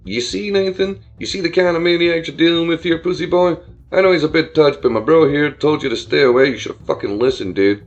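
A man voicing a crude, volatile young frat-bro bully in an audio story, loud and slangy with menacing taunts. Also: a little high, a little fast, dull audio, soft background music, voice pushed up, lightly acted. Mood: taunting.

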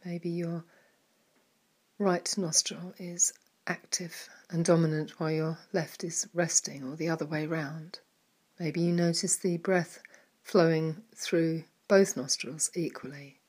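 A woman leading a guided mindfulness meditation in a soft, calm manner, with some words trailing into a whisper. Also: low voice, takes it slowly, husky.

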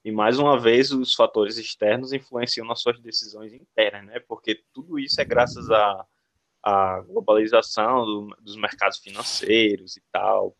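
A man's voice: lowish voice, steady tone, normal speed, a bit bright. A Brazilian man in casual chat, thinking aloud with many restarts and filler words.

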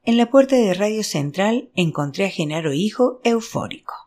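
Audiobook narrator, mid-range pitch, scripted literary reading, novel narration. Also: expressive, moderate pace, a little mellow. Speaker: audiobook reader.

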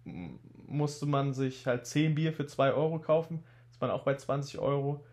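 A young man in his twenties with a slight cold, telling stories in a relaxed, casual podcast chat. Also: mid-range voice, normal speed. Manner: relaxed storytelling, laid-back slang. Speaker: podcast co-host.

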